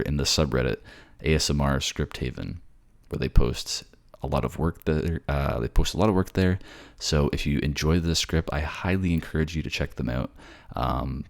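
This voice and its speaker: A man's voice: a bass voice, creaky. A man hosting his audio channel, talking casually to listeners in a polite, appreciative, somewhat hesitant way. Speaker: voice actor, channel host.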